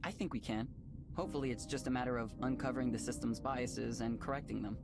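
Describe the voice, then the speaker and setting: An actor playing a polite, earnest tech developer in a visual novel, speaking courteously and in a measured way, with music behind him. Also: male voice, mid-range voice, creaky, lightly acted. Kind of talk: visual novel voice acting, conversational.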